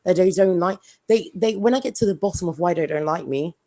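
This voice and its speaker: A woman from Jamaica with an English accent, chatting bluntly as a guest on a casual live stream, with dark humor. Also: lowish voice, brisk.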